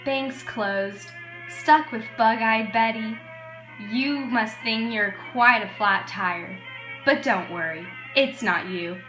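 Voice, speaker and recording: A woman playing a brash, slangy radio reporter and pitchwoman in an amateur 1920s broadcast. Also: takes it slowly. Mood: teasing sales pitch. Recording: roomy echo, music behind.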